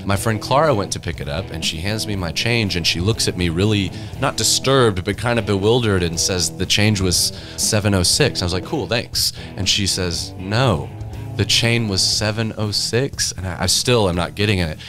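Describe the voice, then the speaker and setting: A man talking casually in an interview, telling stories in an animated conversational way. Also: low-pitched, moderate pace. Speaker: recording studio staff member, interviewee. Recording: guitar music playing behind. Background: guitar music.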